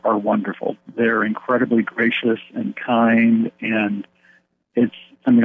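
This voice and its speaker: Husky-voiced man on a tinny phone line. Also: medium pitch. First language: English. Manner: earnest, rambling with fillers and restarts. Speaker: pastor of an English-speaking church abroad. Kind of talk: phone interview.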